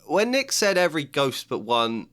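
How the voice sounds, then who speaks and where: A man's voice: a little high, sing-song, normal speed, fairly bright tone, voice pushed up. A man doing an offhand teenage schoolboy. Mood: scoffing. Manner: offhand and scoffing.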